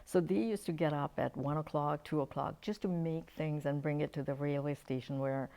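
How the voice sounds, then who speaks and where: An older woman from Uganda speaks as a guest, with a low, mellow voice. She tells her stories earnestly and casually, stopping and restarting often. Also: steady tone, moderate pace. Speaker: guest, dentist. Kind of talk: casual interview talk.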